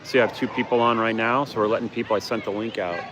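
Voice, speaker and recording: A man's voice: lowish voice, fairly even intonation, fast talker, a crowd in the background. Chatty man on a noisy pool deck. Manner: chatty, explains to viewers. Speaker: livestream host and team parent. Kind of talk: casual livestream commentary. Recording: noisy outdoor phone recording.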